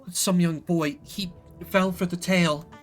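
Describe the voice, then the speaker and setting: A man acts out a frightened game villager with an urgent, halting delivery. The storytelling is dramatic and full of dread, with music playing behind it. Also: sits high, steady tone. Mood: ominous storytelling. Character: frightened villager, urgently warning of an escaped witch. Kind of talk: acted narrative game dialogue.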